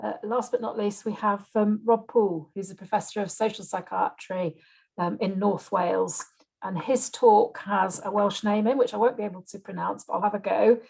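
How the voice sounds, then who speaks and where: A woman's voice: mid-range voice, fairly even intonation, normal speed, vocal fry, a little mellow, husky, rough recording. A woman chairing an online event, introducing a speaker informally, partly from prepared notes. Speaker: webinar chair. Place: video call.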